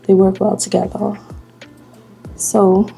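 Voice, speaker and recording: A woman's voice: mid-range voice, gravelly, faint music behind. A Bahamian woman in her late twenties chatting casually as she does her makeup for a vlog. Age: 20s. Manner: slow, relaxed, chatty while doing makeup. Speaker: vlogger. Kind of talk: casual get-ready-with-me chat.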